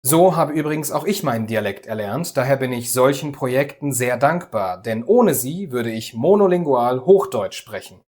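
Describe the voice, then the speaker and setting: Calm man explaining a language lesson. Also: mid-range voice, normal speed, hardly any pauses. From Düsseldorf area, Germany. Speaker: language teacher, host.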